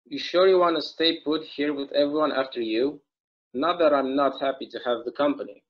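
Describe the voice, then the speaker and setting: A man's voice: fairly high pitch, brisk, natural voice. A young man playing a chatty, folksy small-town bartender in a table read of a stage play, rambling through local stories over a video call. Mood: friendly, curious. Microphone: video call microphone. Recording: dull, lo-fi video call audio.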